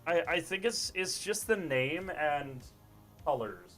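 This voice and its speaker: A man with a stuffy, congested tone that leans nasal, rambling casually as he plays. His talk is loose and self-deprecating, with a soft bed of music behind him. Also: a little high, big pitch swings, slow. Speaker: streamer. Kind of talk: casual live-stream chat while gaming.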